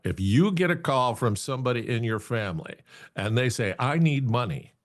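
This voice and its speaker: A man with a medium-pitched voice hosts an informal news commentary show. He talks in a plain-spoken, conversational way and freely gives his own opinions on the items he presents.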